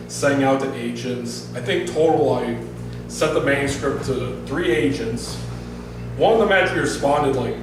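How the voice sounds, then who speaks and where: An American man speaking as an author at a public reading, casual and halting, with a self-deprecating, informal way of talking. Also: mid-range voice. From northern Michigan, United States. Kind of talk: casual spoken introduction and story reading to a small audience. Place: bar-like event venue, recorded from across the room. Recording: echoey venue, distant mic, music behind.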